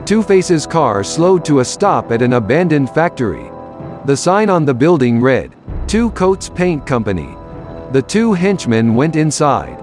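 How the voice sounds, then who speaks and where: A man's voice: on the high side, sing-song, unhurried, a little mellow. A man narrating a scripted action story for an audio drama. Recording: music bed behind narration.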